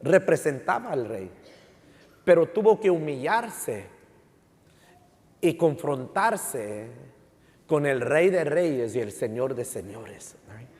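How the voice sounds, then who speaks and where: A man's voice: a little high, very animated, slow, long pauses, darkish tone. A man preaching as a pastor in an emphatic, teaching way, repeating key phrases and switching between Spanish and English. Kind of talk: sermon with rhetorical questions to the congregation. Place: large indoor church hall. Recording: reverberant hall sound.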